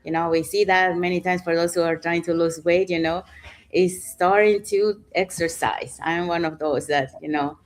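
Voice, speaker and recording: A woman's voice: on the low side, steady tone, moderate pace. A middle-aged woman from Panama hosting a live online panel talk, chatty, with many fillers like "you know". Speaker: host.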